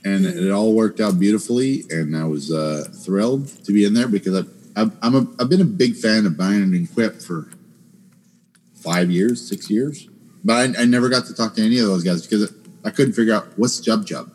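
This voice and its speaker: A man co-hosting a gaming podcast, relaxed and a little hesitant in casual chat. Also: fairly low pitch, moderate pace. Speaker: podcast co-host.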